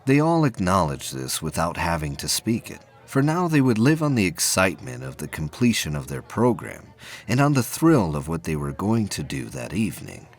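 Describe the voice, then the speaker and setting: A man reading scripted narration for a science fiction audio play, with a husky voice. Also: low-pitched, huge pitch swings, moderate pace.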